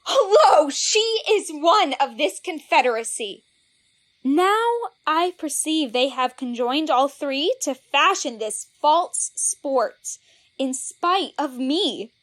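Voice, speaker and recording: A woman's voice: high-pitched, animated, takes it slowly, a bit bright, exaggerated voice. An actress playing a spurned, indignant young woman in a Shakespearean audio drama, declaiming verse theatrically. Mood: hurt, accusing.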